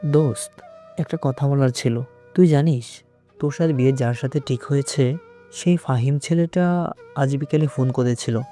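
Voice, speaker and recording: Man acting a mellow-voiced, worried young friend. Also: mid-range voice, normal speed, long pauses, soft background music, lightly acted. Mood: troubled. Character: worried young man, groom-seeking bride's brother, familiar old friend. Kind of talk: dramatized romantic audio story acting.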